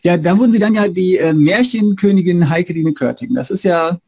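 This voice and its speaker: A man in his forties hosting a casual interview, haltingly searching for his words. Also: high-pitched, creaky, a little mellow, breathy edge. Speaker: interview host, academic. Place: indoors, room with bookshelves.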